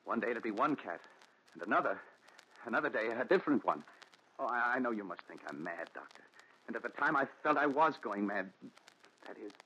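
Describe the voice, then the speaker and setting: An actor playing a haunted, desperate man confessing his story, with intense, dramatic pauses in 1940s radio melodrama style. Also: fairly low pitch, big pitch swings, bright, forceful tone, slightly rough, heavily acted. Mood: haunted, fearful. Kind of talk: 1940s radio thriller acting. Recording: dull noisy 1940s radio recording.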